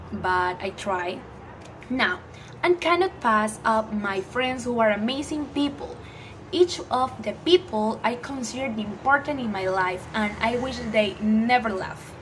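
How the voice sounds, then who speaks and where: A teenage girl from Ecuador, a native Spanish speaker, introduces herself to her phone camera in a small room. She speaks cheerful, halting English with an Ecuadorian Spanish accent and often stops to search for words. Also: on the high side, noisy background. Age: teens. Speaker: student vlogger. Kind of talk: casual self-introduction to camera. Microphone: phone front camera at arm's length.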